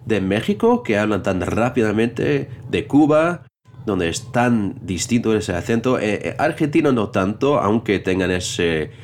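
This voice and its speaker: A man's voice: lowish voice, very animated, a little slow, darkish tone, a little hiss or hum. A man in a casual chat, talking hesitantly, often restarting and searching for words. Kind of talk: casual conversation.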